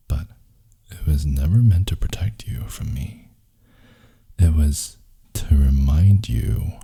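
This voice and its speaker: A man playing a grumpy, possessive, wounded boyfriend in an intimate ASMR roleplay, breathy and intense, often dropping into a whisper. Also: a bass voice, dark tone, exaggerated voice. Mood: intimate, teasing. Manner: slow, intense, teasing murmur with dramatic pauses. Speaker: audio roleplay voice actor.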